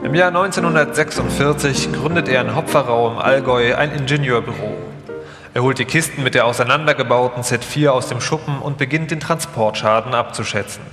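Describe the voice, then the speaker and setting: Guy narrating a radio documentary live, scripted, middling pitch, really echoey hall. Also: fairly quick, music in the background. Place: large hall, live stage performance. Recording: echoey hall with long reverb.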